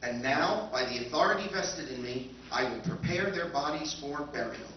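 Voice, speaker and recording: A man's voice: mid-range voice, expressive, moderate pace, natural voice. A man playing a dutiful, protective talking lifeboat machine, formal, pleading and mournful in radio drama style. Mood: mournfully solemn. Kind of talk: 1950s radio drama acting. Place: live convention stage performance. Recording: distant, echoey hall, dull, noisy live recording.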